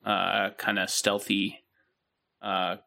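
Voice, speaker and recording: A man's voice: on the low side, slow pace, vocal fry. A young man co-hosting a podcast, chatting casually and explaining things loosely with lots of "um" and "uh". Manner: relaxed, explains with many fillers. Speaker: podcast co-host. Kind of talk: casual chat.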